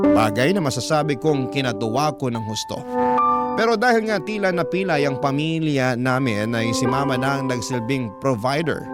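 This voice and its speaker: A man with a Filipino accent narrates a dramatic first-person story from a script. His voice is medium in pitch, and music plays prominently behind him throughout. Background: prominent background music.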